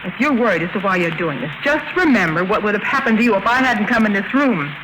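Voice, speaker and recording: Casting: woman as enigmatic countess for vintage radio thriller, medium register, soft but commanding, audible recording noise. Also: medium pitch, animated, quick pace, lightly acted. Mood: steely, urgent. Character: mysterious, cool, steely femme fatale countess. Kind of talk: 1950s radio drama acting.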